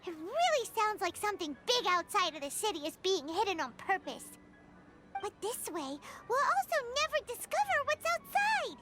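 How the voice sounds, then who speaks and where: A woman voicing a small, childlike, chatty and cheeky fairy companion in a video game, exclaiming as she talks. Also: extremely high pitch. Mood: puzzled. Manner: exclamatory, refers to self in third person.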